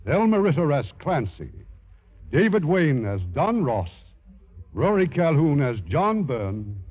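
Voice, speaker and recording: A man's voice: on the high side, husky. A man hosting a 1950s radio theater, reading scripted introductions and narration in a formal showman style. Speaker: host and producer of radio theater. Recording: dull 1950s radio broadcast, faint music.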